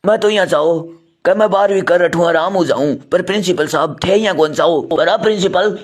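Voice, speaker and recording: A man's voice: sits high, a little fast. A man playing a cheeky twelfth-grade schoolboy in a comedy skit, speaking with a Rajasthani accent. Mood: sly, cheeky. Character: cheeky male twelfth-grade student bargaining with his principal. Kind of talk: comedy skit dialogue in dialect.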